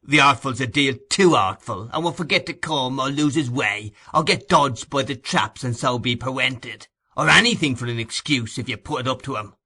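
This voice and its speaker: A man's voice: medium pitch, normal speed, hardly any pauses, husky. A man doing a growling, surly burglar. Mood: demanding. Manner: growling and loud. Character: brutal, surly male burglar, sick and bitter.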